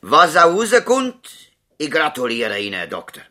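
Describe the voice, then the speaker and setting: An actor with a Swiss German accent playing a drowsy, naive, talkative village patient, bumbling and emphatic, in a seventies radio play. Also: male voice, on the high side, huge pitch swings, moderate pace, pushed and bright, full character voice. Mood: earnest, impressed. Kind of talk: 1970s radio play acting.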